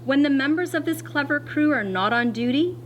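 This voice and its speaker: A woman teacher reading a picture book aloud to children in an expressive, deliberate way, pausing to explain as she goes. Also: high voice, a little hiss or hum.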